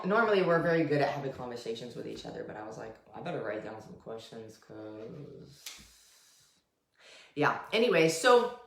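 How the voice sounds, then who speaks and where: A woman with a notably low voice hosts a casual talk show, pausing often with "um" as she gathers her thoughts. The sound is roomy and echoey. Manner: warm, hesitant, many "um"s. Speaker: podcast co-host, interviewer. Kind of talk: casual interview.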